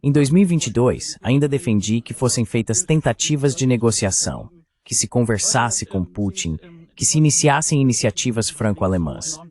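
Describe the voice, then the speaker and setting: A man's voice: medium pitch, expressive, moderate pace, a little mellow. A man speaking as the translated voice of a panel guest, giving political commentary in an interview.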